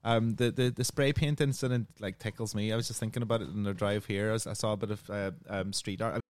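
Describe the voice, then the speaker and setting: A man hosting a podcast interview in a relaxed, giggly way. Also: lowish voice, fast talker. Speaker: podcast host. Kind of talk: casual interview.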